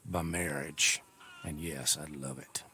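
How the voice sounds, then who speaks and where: An actor playing a cagey old American dealer in stolen goods, folksy, guarded and grudging, in a video game cutscene. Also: male voice, a bass voice, steady tone, slow, pushed and bright, slight background noise, exaggerated voice. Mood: sly. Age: older.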